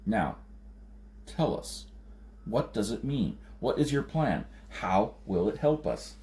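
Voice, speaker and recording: A man's voice: lowish voice, very animated, slow pace, warm tone, roomy sound, full character voice. A man voicing a grave, blunt, determined outlander leader with an intent delivery in a science fiction audiobook. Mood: demanding.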